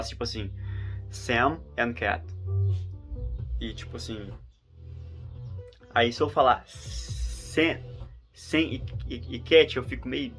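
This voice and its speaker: A man's voice: medium pitch, a bit bright. A man from in Brazil, vlogging in a rambling, casual monologue full of fillers and restarts.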